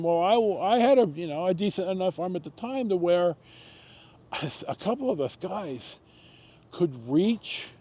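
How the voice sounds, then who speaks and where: An American man hosting a storytime, telling personal stories in a casual, rambling, halting way, reminiscing and correcting himself as he goes. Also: fairly high pitch, dark tone, slightly rough. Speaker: host of a personal storytime show.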